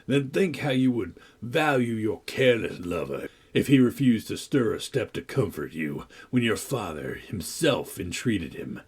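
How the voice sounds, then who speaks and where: A man reading a novel aloud, voicing a deep-voiced, menacing villain who sneers, coaxes and threatens. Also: very animated, measured pace, few pauses, slightly rough, full character voice. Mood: coaxing. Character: menacing, brooding older man, a manipulative villain.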